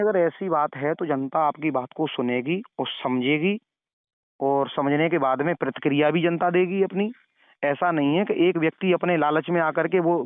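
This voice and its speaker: Male preacher, fairly high voice, admonishing like an elder brother over a narrow, dry phone connection. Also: no echo. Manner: elder-brotherly, moralizing, repeats points for emphasis. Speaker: religious preacher giving advice. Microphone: phone call. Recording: narrow tinny phone call recording.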